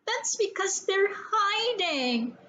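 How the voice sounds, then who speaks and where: A woman's voice: very high voice, big pitch swings, slow pace, sharp tone, a little hiss or hum, voice pushed up, exaggerated voice. A woman voicing a frightened, stubborn little girl in a children's storybook, with a nervous, emphatic delivery. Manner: nervous, gulping, shrieking, emphatic. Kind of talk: children's storybook read-aloud.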